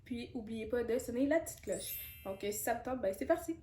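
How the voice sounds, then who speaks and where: A woman's voice: fairly high pitch, lively intonation, brisk, warm tone, echoey room, a little hiss or hum. A woman in her twenties from Canada with a Quebec French accent, chatting candidly through a casual Q&A vlog with lots of fillers and asides. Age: 20s. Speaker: YouTuber.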